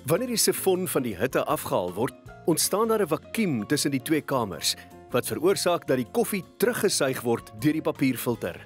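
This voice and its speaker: A man with a South African accent delivers scripted television narration. His pitch is fairly high and moves about animatedly. Music is clearly audible underneath. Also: big pitch swings, brisk. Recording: voice-over over prominent music.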